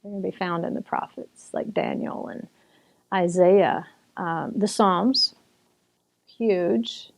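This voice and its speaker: A woman author talking through her book in a relaxed, conversational way, chatty and full of restarts. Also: on the low side, slow, creaky. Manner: chatty, with restarts and occasional laughter. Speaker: author and Bible teacher.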